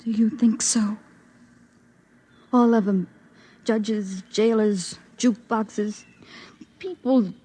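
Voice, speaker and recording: A lonely, bitter young woman with a mellow, husky voice in 1950s radio drama style. Her delivery is world-weary and intense, with theatrical pauses and a guarded edge. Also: mid-range voice, steady tone. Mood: bitter, wary.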